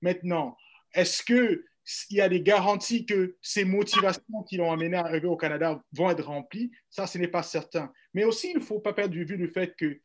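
A man from Cameroon speaking as a guest on a radio panel, formal and measured, with fillers. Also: high voice.

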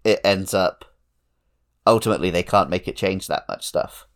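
A man's voice: low voice, moderate pace. A man co-hosting a podcast, chatting casually about video games in a conversational, explanatory way. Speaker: podcast co-host.

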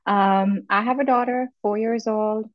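A woman's voice: fairly high pitch, steady tone, measured pace, no room sound, lo-fi sound. A woman in her thirties from Pakistan with a Pakistani accent, talking informally as a student panelist. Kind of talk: informal self-introduction.

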